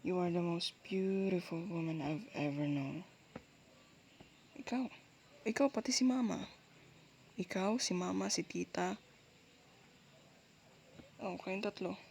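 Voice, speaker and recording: A woman with a Filipino accent doing intimate girlfriend roleplay ASMR, speaking softly and closely in a soothing, coaxing, reassuring way. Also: on the low side, takes it slowly, creaky, long pauses. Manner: soothing, coaxing, with frequent gentle check-ins. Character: soft dominant, reassuring, apologetic girlfriend comforting her partner. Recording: faint background noise.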